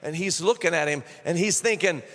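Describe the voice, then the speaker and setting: A male pastor preaching a sermon, moving between quiet storytelling and shouted, fervent praise. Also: a little high, fairly quick, in a large hall.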